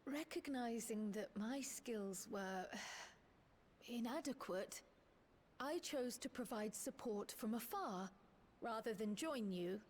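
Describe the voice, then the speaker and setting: A woman's voice: on the high side, expressive, takes it slowly, a little mellow, a little hiss or hum. A woman voicing a polite, determined young scholar and magic user in a video game, speaking in a formal, articulate, earnest way. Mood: earnest, resolute. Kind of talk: video game cutscene acting.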